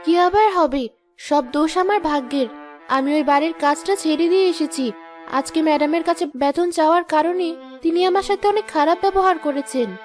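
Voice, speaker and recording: Woman acting high-voiced, aggrieved poor housemaid. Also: normal speed. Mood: dejected. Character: poor aggrieved housemaid, young mother, plain-spoken, proud. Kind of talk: Bengali cartoon moral-story acting. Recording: music behind the voice.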